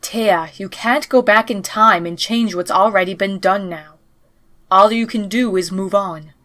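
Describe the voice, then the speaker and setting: An indignant pony princess, played by a woman, talks in a medium-pitched voice. She is the blunt, scolding little sister of an audio drama, full of sarcasm and exasperated emphasis. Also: measured pace, a bit bright, lightly acted. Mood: dry, impatient. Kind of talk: scripted fan audio drama acting.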